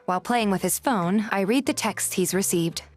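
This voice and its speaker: Woman, scripted story narration, first-person, medium pitch, background music. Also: lively intonation, moderate pace. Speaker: voice actor narrating and playing the heroine of a fan dub.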